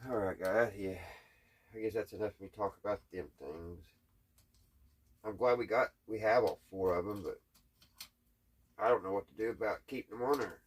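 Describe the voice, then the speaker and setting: A man chatting casually in a halting, puzzled, folksy way, thinking out loud as he tinkers. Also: on the low side, slightly rough. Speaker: host of a radio repair hobby channel. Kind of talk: casual hands-on repair chat, thinking out loud.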